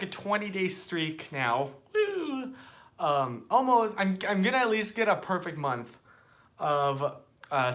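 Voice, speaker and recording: A man's voice: a little high, a bit bright. A young American man chatting casually and rambling on a live stream from his phone, sitting outdoors at night. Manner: rambling, chatty, reads out viewer comments.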